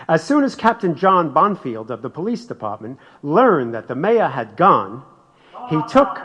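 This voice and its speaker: Dignified governor, slightly high male voice, declamatory, deliberate, dull reverberant recording, radio play. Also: a bit bright, heavily acted. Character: solemn 19th-century male state governor making speech. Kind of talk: radio drama speech.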